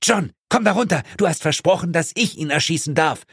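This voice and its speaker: A man's voice: a little high, animated, fairly quick, creaky, a bit bright, breathy edge, no room sound, clean studio sound, pitched above the performer's own voice, heavily acted. Man doing an exasperated sidekick, raised voice. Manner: urgent, calling out. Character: exasperated male sidekick of a monster hunter.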